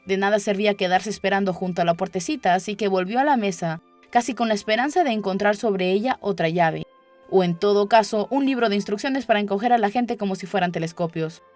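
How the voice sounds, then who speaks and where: A woman's voice: mid-range voice, brisk, darkish tone. Woman narrating a story over music. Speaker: audiobook narrator. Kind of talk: scripted children's story narration. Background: background music.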